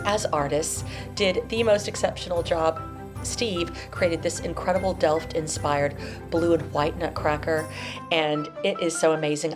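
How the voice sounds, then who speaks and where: A woman with a lowish voice hosts a decorating vlog. She talks chattily and with gushing enthusiasm. Music plays clearly underneath her voice. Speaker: vlog host. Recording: music playing behind the voice.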